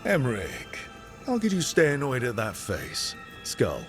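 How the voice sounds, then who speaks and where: An actor playing a wry, easygoing fantasy adventurer in a video game, talking in a casual, plainspoken, questioning way. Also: male voice, mid-range voice, huge pitch swings, unhurried, over music. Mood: teasing. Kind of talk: video game dialogue acting.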